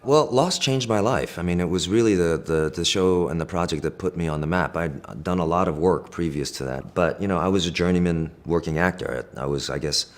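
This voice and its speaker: A middle-aged man, a native Korean speaker raised in the United States, giving thoughtful, measured interview answers with frequent fillers and restarts. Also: low-pitched. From Korea, raised in Pennsylvania.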